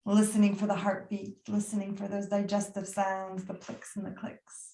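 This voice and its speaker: A woman leads a guided meditation in a soothing, unhurried manner. Her mid-pitched voice stays very even, with little rise or fall, and phrases trail off into pauses. The sound is noticeably roomy and echoey. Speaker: yoga nidra meditation teacher.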